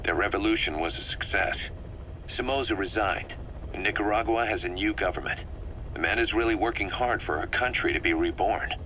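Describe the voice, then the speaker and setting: A man's voice: lowish voice, brisk, sharp tone. A man playing a bitter, driven middle-aged military officer in a video game, briefing in a clipped, emphatic way. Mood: somber, reflective. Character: bitter, driven military second-in-command, vengeful and intense. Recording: faintly noisy cassette tape recording.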